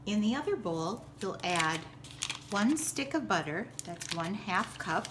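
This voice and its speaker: A woman presents a recipe step by step in a very unhurried way, with simple, teacherly instructions. Her pitch sits in the middle range for a woman. Clear background noise runs behind her voice. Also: lively intonation. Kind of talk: step-by-step cooking demonstration.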